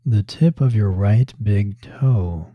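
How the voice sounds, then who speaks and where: A man leading a guided yoga relaxation as the teacher, speaking calmly in short, measured phrases with long pauses between them. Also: lowish voice, husky, dry recording, lo-fi sound. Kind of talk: slow guided relaxation instruction.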